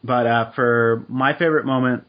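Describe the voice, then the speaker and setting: A man co-hosting a casual chat podcast, speaking in a measured, reflective way with frequent hedges. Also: mid-range voice, fairly even intonation. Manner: measured, reflective, hedging with uh and I think. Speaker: podcast co-host. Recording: dull lo-fi podcast audio.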